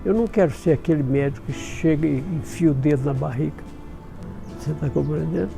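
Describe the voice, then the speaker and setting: A man's voice: on the high side, fairly quick, gravelly, over music, low-quality recording. An older man from the south of Minas Gerais, a retired doctor in his eighties, telling his life story in a folksy way with chuckles. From south of Minas Gerais, Brazil.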